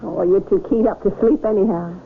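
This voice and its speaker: An actress playing a small-town mayor's adoring, teasing wife in an old-time radio drama, affectionate, prodding and expressive. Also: female voice, medium pitch, brisk, vocal fry, narrow, tinny audio, lightly acted. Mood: amused, soothing. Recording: band-limited, noisy radio drama recording.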